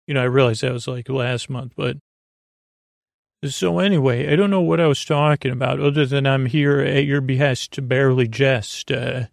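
A man hosting a sleep podcast with a rambling, improvised monologue, halting and meandering through tangents with whimsical, gently silly wordplay. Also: mid-range voice, fairly even intonation, vocal fry, no room sound, clean studio sound. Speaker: host of a bedtime sleep podcast.

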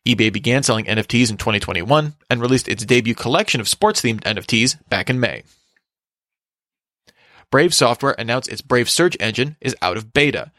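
A man reading scripted tech news as a podcast host in a matter-of-fact, measured way. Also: mid-range voice, fairly bright tone, dry recording, clean studio sound.

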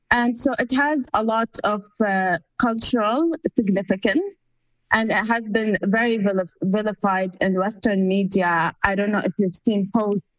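A woman's voice: medium pitch, a bit bright, no echo, muffled recording. A woman of Palestinian family background, answering interview questions in an earnest, explanatory way with many fillers. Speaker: guest.

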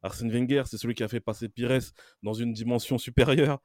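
A man's voice: medium pitch, steady tone, fairly quick, a little mellow, no room sound, studio quality. A man giving admiring opinions as a podcast panelist, building his points through emphatic repetition. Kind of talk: admiring opinion in casual discussion.

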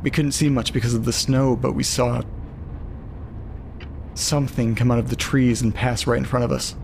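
A man's voice: medium pitch, flat delivery, normal speed, gravelly, darkish tone, a little hiss or hum, lightly acted. An actor playing an uneasy, lonely man reading eerie letters aloud in an audio drama monologue. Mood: somber, recounting. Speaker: narrator.

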